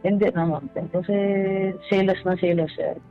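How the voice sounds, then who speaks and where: A Filipino man calling in to a radio advice show, speaking haltingly and politely, with deference to the hosts. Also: sits high, steady tone. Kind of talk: halting personal conversation. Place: calling in by phone. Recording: dull phone-in line, music behind.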